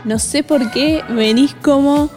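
A young woman with an Argentine accent co-hosts a podcast in casual, conversational style. Music plays clearly behind her voice. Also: high voice, slow. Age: 20s. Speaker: podcast co-host. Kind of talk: casual chat.

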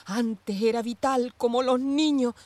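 An actress playing a troubled, evasive former police commander, defensive and theatrical, in a radio drama. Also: female voice, on the high side, steady tone, a little slow, warm tone, no echo, lightly acted. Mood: anguished, wistful. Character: troubled, evasive ex-police commander woman mourning her dogs. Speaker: actor in a radio play.

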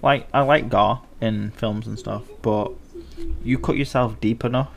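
Gravelly laid-back young man. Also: mid-range voice, steady tone, unhurried. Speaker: podcast co-host. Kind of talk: casual film discussion.

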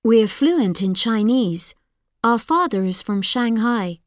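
A woman's voice: a little high, lively intonation, takes it slowly, no echo, clean studio sound. A woman reading example sentences for language learners, each one carefully enunciated. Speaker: course audio speaker. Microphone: dry studio mic.

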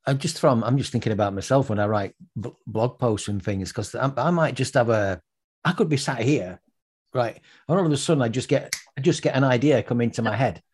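Chatty older man with husky voice. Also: medium pitch, a little fast, darkish tone. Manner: chatty, restarts and repeats words, anecdotal. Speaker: podcast host. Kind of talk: casual podcast interview.

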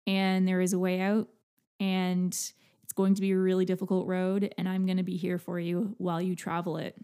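A young adult woman hosting a podcast, talking to listeners in an earnest, halting personal monologue. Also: fairly low pitch, completely flat delivery, smooth. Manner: earnest, halting, soft-spoken. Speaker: podcast host.

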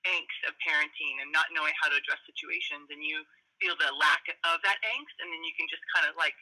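Loud animated woman on a call, super bright forceful voice, chatty interview guest. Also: low voice, brisk, rough recording. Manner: naturally loud, animated talker. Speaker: parenting program guest. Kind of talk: casual interview conversation.

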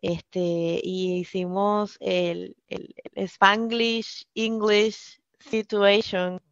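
A woman's voice: medium pitch, level tone, very slow, no echo. A Puerto Rican woman speaking as a podcast guest in casual chat, hesitant, with many filler sounds. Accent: Puerto Rican Spanish. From Puerto Rico. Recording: dull lo-fi sound.

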